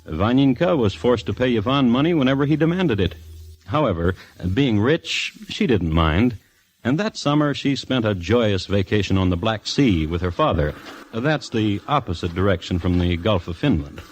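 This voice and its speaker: An actor playing a wry, deadpan storyteller in an old-time radio drama, with deliberate hesitant asides. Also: male voice, fairly low pitch, low-quality recording. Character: wry deadpan male storyteller of a mock Russian tragedy.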